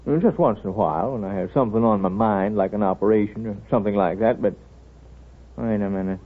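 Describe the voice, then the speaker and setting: An American actor playing a wise, wry, middle-aged small-town doctor in 1930s radio drama style, with folksy, hesitant mumbling. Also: male voice, on the low side, fast, lightly acted. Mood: genial, absent-minded. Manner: folksy, hesitant, absent-minded mumbling asides. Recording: tinny, narrow-band 1930s radio recording.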